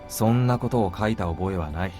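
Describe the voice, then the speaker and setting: An actor playing a calm, dry, protective young man in a Japanese visual novel. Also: low-pitched, racing, music in the background, natural voice. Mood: dry, caring.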